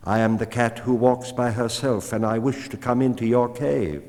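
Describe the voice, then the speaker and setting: A man's voice: medium pitch, steady tone, normal speed, darkish tone, voice pushed up, natural voice. A man voicing a cunning, proud female cat who wants to be let into the cave. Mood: sly. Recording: long hall reverb.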